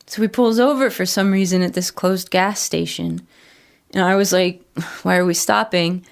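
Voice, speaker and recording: A woman playing a troubled former addict and ex-convict, telling her story plainly and haltingly in a horror audio drama. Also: on the low side, vocal fry. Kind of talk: first-person horror audio drama monologue.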